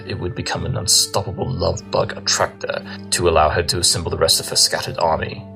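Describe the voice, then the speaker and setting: Man voicing arrogant detective stallion, low gravelly voice, rapid-fire condescension, cartoon audio drama. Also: rough recording. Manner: pompous, rapid-fire lecturing. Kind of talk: fan audio drama acting.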